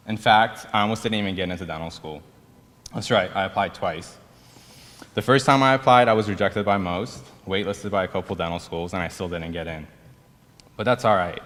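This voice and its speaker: A man's voice: low voice, fairly bright tone. A young man in his twenties with a Persian accent, the senior class president, telling his story in a slightly nervous, self-deprecating speech. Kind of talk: ceremony speech. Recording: reverberant hall.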